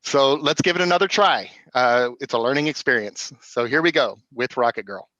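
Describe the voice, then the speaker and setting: A man hosting a live-streamed play reading over a video call, speaking in a hurried, apologetic way. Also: mid-range voice, bright, forceful tone, no echo, highs cut off. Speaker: event host. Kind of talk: casual live-stream announcements.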